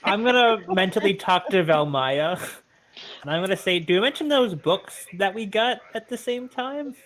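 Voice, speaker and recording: A man's voice: sits high, expressive, moderate pace, a bit bright. A man chatting casually as a player in an online tabletop game. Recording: noisy online mic with clicks.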